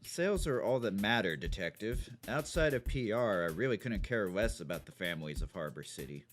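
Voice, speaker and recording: A cold, self-interested business boss played by a man, with a lowish voice that swings expressively over prominent music. He sounds curt and dismissive in radio-drama style. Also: expressive, a little fast, natural voice. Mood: cool, evasive. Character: callous, self-interested, irritable male business magnate. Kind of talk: audio drama acting.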